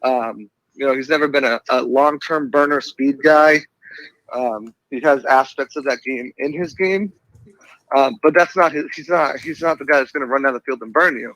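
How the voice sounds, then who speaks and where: A man's voice: medium pitch, moderate pace, fairly bright tone, no echo, dull audio. Boston man talking sports, dry sound. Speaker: sports writer, guest analyst. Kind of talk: casual sports commentary.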